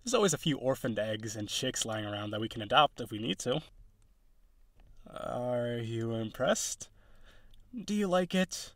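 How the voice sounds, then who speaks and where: A man's voice: mid-range voice, animated, measured pace, pauses often, no room sound, clean studio sound. A man voicing an eager, awkward, insecure young male harpy in an audio roleplay, talking to the listener in an earnest, rambling, nervous way. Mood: proud, eager.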